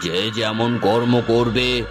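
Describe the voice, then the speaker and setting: A man playing a wise, calm holy man who advises a king, in a solemn, moralizing cartoon style. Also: mid-range voice, slow pace, lightly acted. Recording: loud music under the voice. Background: music.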